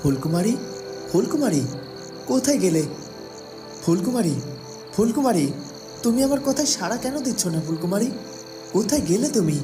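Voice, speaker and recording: Casting: actor for worried young fairy-tale prince, urgent questioning delivery, prominent music and night insects behind. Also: male voice, high voice, sing-song, normal speed, pauses often. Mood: worried, searching. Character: worried young prince searching, devoted husband.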